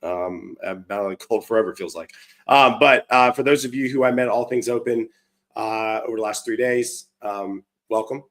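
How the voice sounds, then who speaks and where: Hoarse, chatty man hosting a stream. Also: lowish voice, moderate pace, a bit bright. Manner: upbeat, chatty, many fillers and restarts. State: hoarse from a cold. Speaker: livestream show host. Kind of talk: casual live-stream chat.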